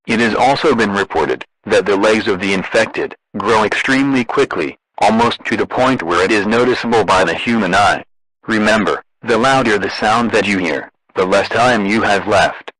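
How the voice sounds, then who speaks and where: A man's voice: on the low side, normal speed, a bit bright, no echo, poor audio. A man delivering a scripted emergency broadcast as an announcer, in a formal, impersonal way.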